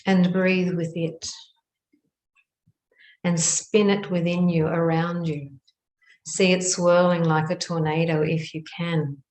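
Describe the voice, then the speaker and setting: Slow, low-voiced woman guiding meditation. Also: little pitch movement, darkish tone. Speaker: meditation guide. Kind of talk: guided meditation narration. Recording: roomy, echoing sound.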